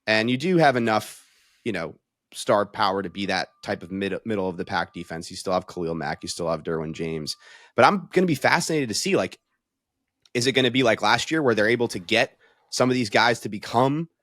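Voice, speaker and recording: Casual male sports analyst, fairly low voice. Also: expressive, a little fast, a bit bright, no echo, clean studio sound. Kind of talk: conversational sports discussion.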